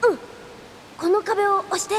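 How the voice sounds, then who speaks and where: A woman's voice: very high-pitched, fairly even intonation, moderate pace, slight background noise. A woman playing a plucky but timid little boy sidekick in an audio drama, with a boyish, eager, whiny delivery. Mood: eager, helpful. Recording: long reverb with faint music.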